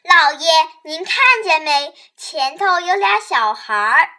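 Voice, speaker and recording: A woman voicing an eager, polite and fussy young servant boy in a children's radio play, in an excitable, pleading manner. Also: high voice, slow pace, voice pushed up, exaggerated voice. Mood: cheerful.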